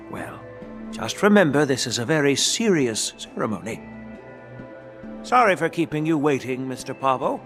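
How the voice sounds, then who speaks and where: An actor playing a dignified elderly grandfather and general in a video game, formal, measured and authoritative. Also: mid-range voice, sing-song, fairly bright tone, soft background music. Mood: solemn, formal.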